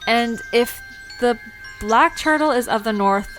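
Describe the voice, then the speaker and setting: A woman playing a curious, outspoken young woman in an audio drama, informal and questioning, sometimes halting mid-sentence. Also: on the high side, fairly bright tone, soft background music, rough recording. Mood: curious, amused. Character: Alina, curious, outspoken young woman learning about mythical creatures.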